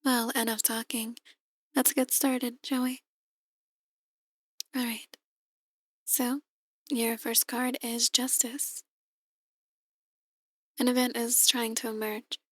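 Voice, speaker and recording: A woman playing a soft-spoken, ominous demon tarot reader in an ASMR roleplay, speaking in a measured, breathy near-whisper. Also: fairly high pitch, little pitch movement, no echo. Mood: calm, solemn. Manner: slow, measured, gently ominous. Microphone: close, dry microphone.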